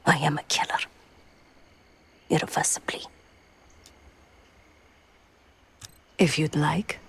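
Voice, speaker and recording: An actress playing a guarded ex-assassin in a video game, remorseful yet coldly resolute, in a measured, hushed confessional way. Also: female voice, low-pitched, level tone, in a whisper, heavily acted. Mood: bitter resolve. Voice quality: husky, breathy, gravelly. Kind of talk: dramatic video game acting.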